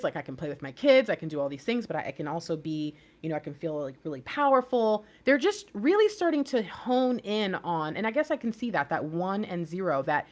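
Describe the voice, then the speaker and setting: Chatty woman giving a tarot reading. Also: mid-range voice. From United States. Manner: chatty and rambling, laughs often. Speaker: tarot reader. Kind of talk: casual intuitive reading.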